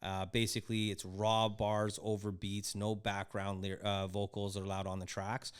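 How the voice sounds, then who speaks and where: A man's voice: on the low side, completely flat delivery, normal speed, clean tone. A middle-aged man from Canada hosting a podcast in a casual, chatty way, with frequent fillers and restarts. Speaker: podcast host. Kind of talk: casual chat.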